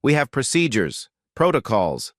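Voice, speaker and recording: A man's voice: mid-range voice, very animated, a little slow, no room sound, clean studio sound, pitched above the performer's own voice. A man voicing a composed, condescending female finance chief in an audio drama, formal and politely dismissive.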